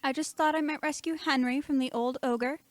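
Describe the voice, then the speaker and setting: A woman's voice: high-pitched, fairly even intonation, fairly quick, no echo, studio quality, lightly acted. A teenage girl playing a playful, affectionate young woman, a scientist's daughter, in an amateur radio play.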